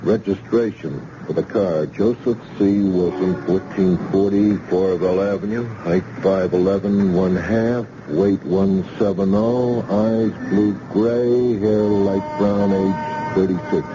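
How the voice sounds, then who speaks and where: An actor playing a level, businesslike police detective sergeant in an old-time radio drama. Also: male voice, low voice, steady tone, takes it slowly, hardly any pauses. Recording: hissy, muffled old radio recording.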